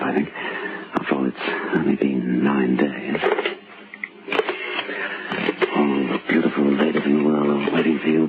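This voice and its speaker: A man's voice: extremely low pitch, creaky, exaggerated voice. An actor playing a scheming, nervous middle-aged husband in an old radio drama, muttering and stammering as he goes. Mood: scheming, self-satisfied. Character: scheming forty-year-old husband hiding a crime, nervous, self-satisfied. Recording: tinny old radio recording with music.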